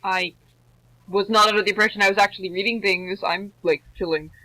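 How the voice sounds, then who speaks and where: A man's voice: high voice, steady tone, a little fast, pushed and bright, no room sound, poor audio. A man chatting casually as a guest commentator, offhand and noncommittal, given to quibbling. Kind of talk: casual chat.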